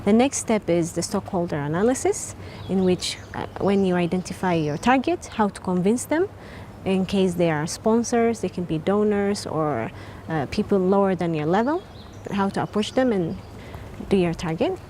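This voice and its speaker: A woman's voice: medium pitch, lively intonation, moderate pace, dark tone, a little hiss or hum. Woman explaining step by step. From Eritrea. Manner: explanatory, listing points. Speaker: scientist trainee. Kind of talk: interview testimonial.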